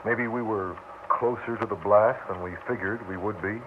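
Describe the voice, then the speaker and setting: An actor playing an anxious but steady husband and father in a 1960s radio drama, speaking in a measured, reasoning, grave manner. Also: on the low side, natural voice. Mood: subdued, grave. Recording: muffled, noisy 1960s radio recording.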